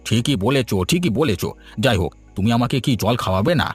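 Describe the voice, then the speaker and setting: A man's voice: medium pitch, animated, fairly quick, breathy edge, no echo, soft background music. A man playing a nostalgic, folksy old village husband in a cartoon, often complaining. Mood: plaintive. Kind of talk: cartoon dialogue.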